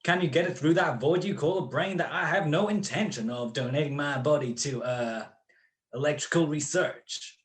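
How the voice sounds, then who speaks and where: A man's voice: a little high, moderate pace, natural voice. A man acting a smug, cold-blooded criminal mastermind in a radio play, with precise, mocking, grandiloquent speech. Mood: mocking amusement.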